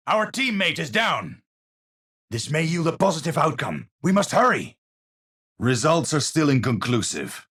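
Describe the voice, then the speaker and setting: Haunted brainy scientist game hero, slow formal delivery, kinda husky, dramatic and pensive. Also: male voice, a little high, a bit bright, heavily acted. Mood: measured, intent. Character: cerebral middle-aged male scientist, eccentric, haunted, formal. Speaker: video game hero character. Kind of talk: scripted game voice lines, theatrical.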